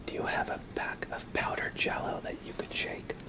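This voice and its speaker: A soft-spoken man whispering gently and politely in a casual ASMR livestream, chatting with viewers and reading cards. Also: moderate pace.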